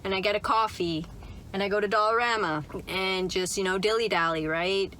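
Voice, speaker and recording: A young woman talks straight to her phone from the seat of a car. Her voice sits at an ordinary middle pitch, and she rambles in a friendly, upbeat way, tagging thoughts with "like" and "right?". A faint hiss sits under the recording. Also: normal speed, a bit bright, low-quality recording. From Canada. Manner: chatty, upbeat, full of "like" and "right?". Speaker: vlogger. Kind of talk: casual chat to camera. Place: inside a parked car.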